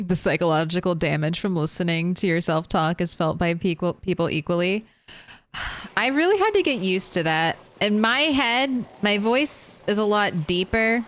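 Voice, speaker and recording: A woman's voice: mid-range voice, a bit bright, dry recording. A young adult woman streaming a game, chatting casually, thinking aloud and laughing often. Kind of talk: casual chat while gaming.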